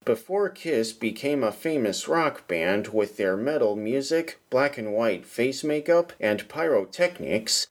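A man hosting a podcast, asking polite questions and reading scripted narration. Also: mid-range voice. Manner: polite, addresses guest as sir. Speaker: podcast host and interviewer.

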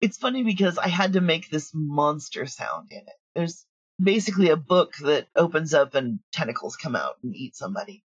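Husky-voiced woman in casual interview. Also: deep voice, expressive, normal speed, dry recording, lo-fi sound. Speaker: audio drama creator and writer.

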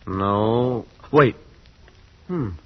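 An actor playing an earnest, romantic young man telling his tale in dramatic 1940s radio style. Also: mid-range voice. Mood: tense. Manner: measured, dramatic. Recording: muffled old 1940s radio, music behind.

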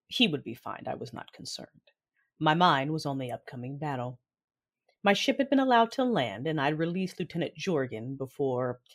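A woman acting a proud, vengeful Sith commander and mother in an audio drama, narrating and speaking in a formal, measured, commanding way. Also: very deep voice, full character voice. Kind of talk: first-person audio drama narration and dialogue.

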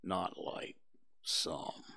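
A man voicing a gloomy old male donkey in a storybook reading, speaking in a low, sighing, half-whispered drawl. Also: very slow, sharp tone.

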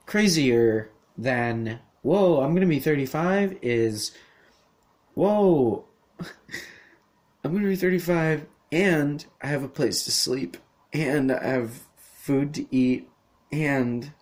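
A man's voice: mid-range voice, expressive, takes it slowly, long pauses, a little mellow. A man in his thirties talking on his own in a rambling solo monologue, with lots of fillers, restarts and casual swearing. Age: 30s. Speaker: podcast host.

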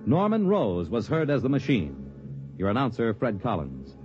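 Lively American male radio announcer, vintage sound. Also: medium pitch, normal speed, over music. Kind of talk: 1950s radio announcing, scripted. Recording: dull, dry old radio recording.

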